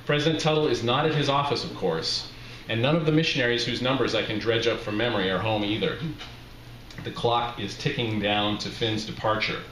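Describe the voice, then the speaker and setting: A middle-aged man reading a humorous personal story aloud at a live event, with a wry, deliberate storytelling delivery. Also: mid-range voice, a bit bright. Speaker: author reading his memoir aloud. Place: small bar with brick walls, live reading. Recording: roomy live bar recording, faint background noise.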